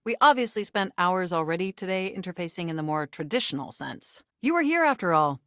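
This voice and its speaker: A woman's voice: on the low side, very animated, a little fast, fairly bright tone, no echo, clean studio sound. A woman voicing a nerdy, eager, flirtatious computer come to life in a video game, speaking in formal, wordy, technical phrases with an earnest, easily flustered manner. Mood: flirtatious, admiring. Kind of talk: scripted video game voice acting.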